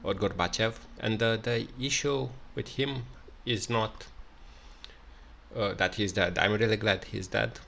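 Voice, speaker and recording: A man with a Romanian accent, speaking English in an unscripted solo commentary, halting with many fillers and restarts. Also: on the low side, vocal fry, fairly bright tone, a little hiss or hum. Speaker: video commentator.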